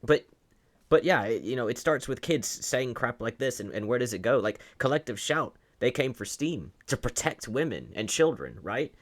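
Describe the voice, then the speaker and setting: A man's voice: medium pitch, very animated, moderate pace. A man giving opinionated video commentary in an argumentative, sarcastic way, often posing rhetorical questions. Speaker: online commentator.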